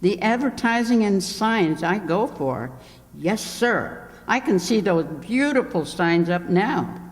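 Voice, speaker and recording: A man's voice: high voice, big pitch swings, takes it slowly, full character voice. A man playing an enthusiastic, wordy appliance salesman, emphatic as an advertisement, in an old-time radio comedy. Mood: enthusiastic pitching. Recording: echoey hall, long reverb.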